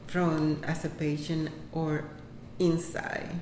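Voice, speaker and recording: A woman from Puerto Rico whose first language is Spanish speaks English with a Puerto Rican accent, answering interview questions in a halting way with many fillers and laughs. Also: low-pitched, little pitch movement, darkish tone. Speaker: interviewee, health center employee. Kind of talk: casual interview. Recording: echoey room, faint background noise.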